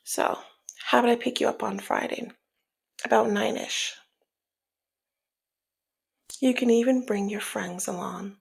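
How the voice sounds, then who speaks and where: Woman acting a breathy, slow-talking flirty mob boss. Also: on the high side, heavily acted. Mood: coy, commanding. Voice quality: breathy, husky, often slipping into near-whisper. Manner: slow, sultry, playful taunting. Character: flirty, teasing, dominant female mob boss. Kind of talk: ASMR roleplay, intimate one-sided dialogue.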